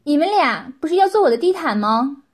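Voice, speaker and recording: A woman voicing an imperious, impatient young woman who gives loud orders in an audiobook story. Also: fairly high pitch, sing-song, moderate pace, breathy edge. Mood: cold, commanding. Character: imperious young woman, scolding master of servile followers.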